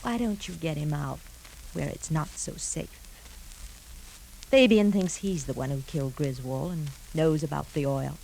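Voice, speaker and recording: A woman's voice: sits low, measured pace, gravelly, a little mellow. An actress playing a scheming, ruthless woman who coyly feigns innocence, in a theatrical 1950s radio drama style. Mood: calculating, persuasive. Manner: theatrical, coy, calculating. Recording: noisy 1950s radio broadcast recording.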